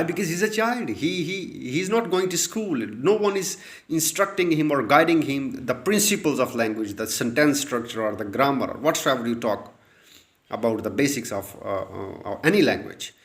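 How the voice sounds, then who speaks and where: A man from Pakistan with a Pakistani accent, a native Urdu speaker, giving earnest English-learning advice with frequent "uh" fillers and repeated points. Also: mid-range voice. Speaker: English tutor. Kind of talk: advice talk to camera.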